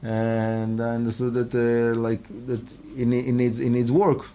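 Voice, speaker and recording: A rabbi whose first language is Hebrew, answering interview questions in English in a halting, earnest way, often restarting and repeating words. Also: male voice, mid-range voice, level tone, mellow, slight background noise. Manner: earnest, devout phrasing. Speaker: rabbi and kabbalah teacher.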